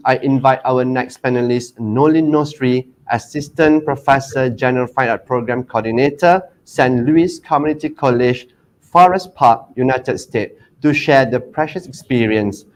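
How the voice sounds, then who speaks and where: A man moderates a livestreamed art dialogue and introduces the next panelist in a formal way. His speech is halting and full of fillers. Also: mid-range voice, a little hiss or hum, low-quality recording. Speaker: moderator, lecturer.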